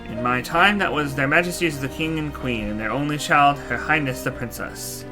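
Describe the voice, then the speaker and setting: A man's voice: fairly high pitch, moderate pace, natural voice, low-quality recording. A man voicing a courtly old knight reborn as a machine, telling his tale in formal, archaic, solemn speech for a fantasy game. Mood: solemn, proud. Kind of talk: fantasy game character dialogue. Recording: music behind the voice. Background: game music.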